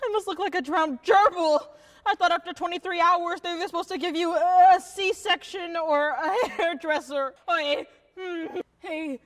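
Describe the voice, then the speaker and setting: A woman's voice: very high-pitched, distant mic. A young woman acting a dramatic monologue as a wry, defiant woman in labour, breathless and pleading. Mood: pained, pleading. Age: young adult. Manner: dramatic, breathless, with sardonic asides. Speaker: student actor. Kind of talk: theatrical monologue performance.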